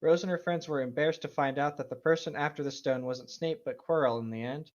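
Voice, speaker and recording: A man's voice: a little high, a little fast, a little mellow. A man chatting casually and reading aloud as a co-host of a commentary show. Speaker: co-host reading and reacting to fan fiction. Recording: dull, lo-fi microphone sound.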